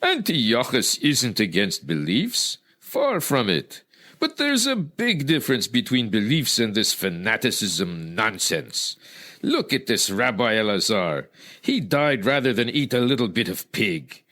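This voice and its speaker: Man acting a smug, theatrical high priest, swooping delivery. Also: very animated, sharp tone. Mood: scornful, emphatic. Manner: theatrical, dismissive, deliberate. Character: smug pragmatic high priest favouring assimilation. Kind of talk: old-time radio style acting.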